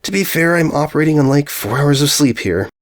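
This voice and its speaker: A man's voice: fairly high pitch, lively intonation, a little fast, voice pushed up, natural voice. A man voicing a brash, skeptical young female cartoon pony, blunt and slangy, in a story reading. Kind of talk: fan fiction story reading.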